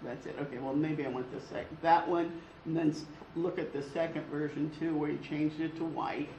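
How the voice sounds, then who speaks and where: A teacher talks through a critique of a student's work, thinking aloud and halting along the way. The voice sits at a middle pitch. It echoes around the room, with faint noise behind it. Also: fairly even intonation, mellow, dull audio. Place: classroom.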